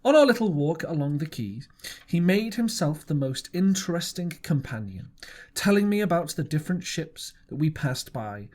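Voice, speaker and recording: A man reading a classic novel aloud as an audiobook, with expressive storytelling. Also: fairly high pitch, moderate pace. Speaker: audiobook reader.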